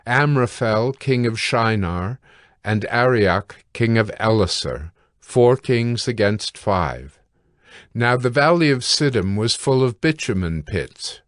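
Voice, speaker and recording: A man narrating scripture from a script in a measured, deliberate way. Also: mid-range voice, no room sound, studio quality.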